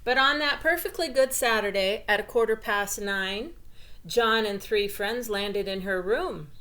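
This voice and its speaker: A woman reading a children's picture book aloud in an expressive, deliberate way. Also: fairly high pitch. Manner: slow, deliberate, explanatory delivery for children. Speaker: storybook reader.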